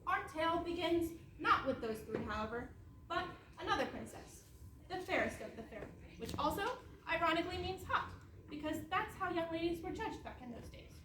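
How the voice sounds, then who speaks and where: A woman's voice: very high voice, expressive, fairly quick. A woman playing a wry storybook narrator, presenting a scripted fairytale spoof on stage. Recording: echoey hall, distant mic, clear noise.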